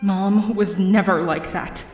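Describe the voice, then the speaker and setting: A woman's voice: medium pitch, steady tone, slow pace, a little mellow, soft background music, lightly acted. A woman playing an earnest, righteous young princess with a firm, pleading manner in an audio drama. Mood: earnest reproach. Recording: echoing hall reverb.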